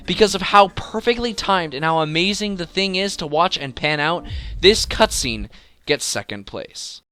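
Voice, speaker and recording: A man's voice: high-pitched, lively intonation, moderate pace, pushed and bright, clean tone. A man hosting a video game top-ten countdown, speaking with emphatic, enthusiastic commentary and dramatic build-ups. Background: music.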